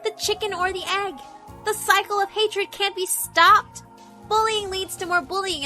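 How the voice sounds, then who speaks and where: A woman's voice: high voice, sharp tone, voice pushed up. A woman voicing a cruel, mocking female school spirit in a horror visual novel, haughty and theatrical. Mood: scornful. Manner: taunting, haughty, drawn-out theatrical delivery.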